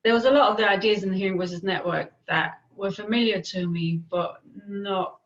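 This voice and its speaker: A woman from Denmark with a Danish accent, answering interview questions in a thoughtful way with frequent pauses and fillers. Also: mid-range voice, lo-fi sound. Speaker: guest, peer expert on hearing voices.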